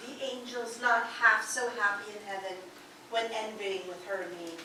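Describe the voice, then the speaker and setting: A woman's voice: medium pitch, steady tone, normal speed, bright, forceful tone, close to an everyday voice. A woman playing a devoted, dreamy young wife, earnestly reciting romantic verse in a live dramatic reading. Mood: earnest longing. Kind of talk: theatrical dramatic reading of love poems. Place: live performance in a radio station's performance room. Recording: very echoey live room, distant mic, faint noise.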